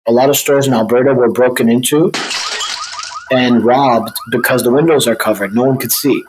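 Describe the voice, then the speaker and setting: A man's voice: mid-range voice, steady tone, normal speed, background noise. A man talking casually as an interview guest and business owner. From Egypt, by birth.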